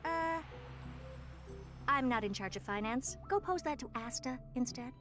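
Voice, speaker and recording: An actress playing a smug, imperious woman scientist in an anime-style video game, curt and sarcastic with a deadpan edge. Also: sits high, measured pace, music in the background. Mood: smug, boastful. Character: haughty, self-declared genius woman scientist, curt and sarcastic.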